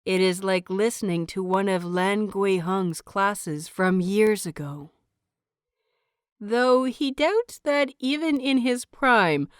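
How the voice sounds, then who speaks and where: Woman narrator for audio fiction: smooth, slow-paced, deliberate, with dramatic pauses. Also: medium pitch, dry recording, studio quality. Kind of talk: scripted dramatic narration of a story.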